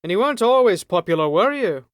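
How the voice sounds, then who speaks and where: A man's voice: high-pitched, very animated, fast talker, no room sound, clean studio sound, pitched above the performer's own voice. A man voicing a male prison guard in an audiobook, breezy and authoritative, with a jovial, needling manner.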